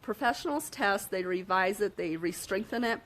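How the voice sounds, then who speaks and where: A woman with a slightly hoarse voice, giving a casual motivational talk to camera and checking in with her listeners often. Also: mid-range voice, expressive, normal speed, fairly bright tone. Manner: upbeat, frequent "okay?" check-ins and fillers. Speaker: self-help vlogger.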